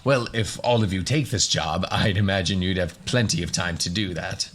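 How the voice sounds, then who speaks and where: A man's voice: deep voice, normal speed, fairly bright tone, breathy edge. A man playing a courteous professor in a fantasy radio story, polite, slightly hesitant and explanatory. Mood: earnest, explanatory. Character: courteous male professor hosting a band of adventurers.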